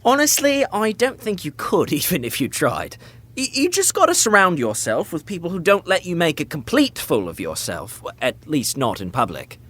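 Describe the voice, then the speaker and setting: Teasing best friend, lively pitch. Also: male voice, normal speed, exaggerated voice. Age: young adult. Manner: dry, teasing banter. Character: prince's loyal, teasing, level-headed young best friend. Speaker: actor in a full-cast audio drama. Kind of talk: modern romantic comedy audio drama, casual banter.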